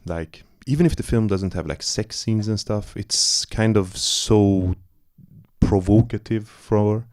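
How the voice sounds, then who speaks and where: A man's voice: on the low side, slow, darkish tone. A man from Iran, a film director, talking loosely in a post-screening Q&A, searching for words with many fillers and restarts. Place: cinema auditorium.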